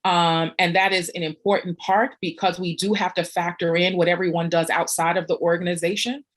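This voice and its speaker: Woman panelist, organizer type, lower voice, reflective and sincere. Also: steady tone, fairly quick. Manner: earnest, reflective, frequent "um" fillers. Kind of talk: panel discussion.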